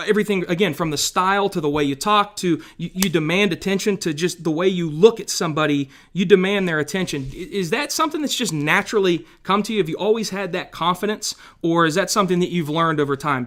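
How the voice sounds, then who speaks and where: High-pitched male host, keen and complimentary while interviewing. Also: fast talker, hardly any pauses, fairly bright tone. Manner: enthusiastic, admiring. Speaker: podcast host. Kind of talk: interview questions.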